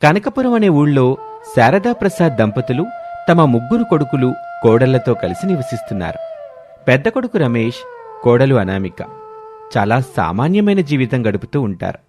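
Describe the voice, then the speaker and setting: Animated male storyteller over music. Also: medium pitch, normal speed. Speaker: storyteller narrator. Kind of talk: scripted narration of a moral story. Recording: music behind the voice. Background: background music.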